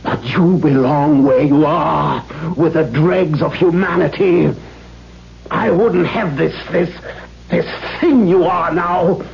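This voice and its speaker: Slow, creaky, haughty old father. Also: a little high. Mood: revolted. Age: older. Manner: stiff, formal, contemptuous, halting. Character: cruel, haughty old father, full of disgust. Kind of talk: 1970s radio drama acting. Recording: dull noisy 1970s radio recording with hum.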